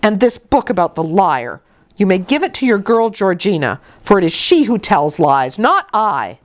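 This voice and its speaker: A woman plays a defiant young orphan girl from a classic storybook. The voice is impassioned and emphatic, with pitch that swings widely. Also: very animated, moderate pace, lightly acted. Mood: defiant, bitter. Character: passionate, defiant young orphan girl confronting her aunt.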